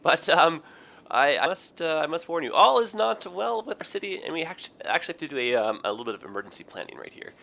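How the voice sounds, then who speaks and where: A man with a raspy, hoarse, tired voice, rambling through casual game commentary with many ums and self-corrections. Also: a little high, big pitch swings, fast, bright, forceful tone. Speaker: gaming video host. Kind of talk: casual let's play commentary.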